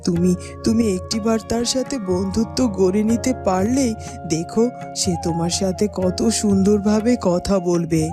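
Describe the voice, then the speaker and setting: A woman's voice: medium pitch, normal speed, music in the background, lightly acted. A woman playing a kindly royal aunt in a fairy-tale cartoon, coaxing and reassuring as she explains things.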